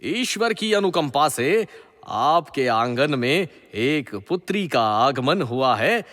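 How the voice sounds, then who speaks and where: A man playing a deferential, excitable royal adviser in a mythological audio drama, with a formal and theatrical delivery. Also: fairly high pitch, slow, full character voice. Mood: respectful, glad. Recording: long hall reverb.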